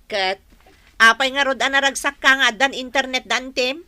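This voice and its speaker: A woman's voice: high-pitched, normal speed, bright, forceful tone. An actress playing a scolding, suspicious mother in a radio drama, exclaiming and nagging with an Ilocano accent.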